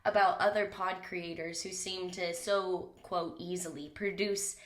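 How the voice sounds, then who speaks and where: A woman's voice: lowish voice, steady tone, a little slow. Young woman, podcast host, slightly stuffed-up voice, candid rambling chat, casual swearing, mic in a small closet. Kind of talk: solo podcast monologue.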